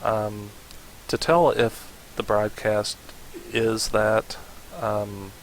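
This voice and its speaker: A man narrating an unscripted software tutorial in an informal, hesitant way, as if thinking aloud. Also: lowish voice, level tone, drawn-out words, low-quality recording. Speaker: tutorial presenter. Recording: hissy home voice-over recording.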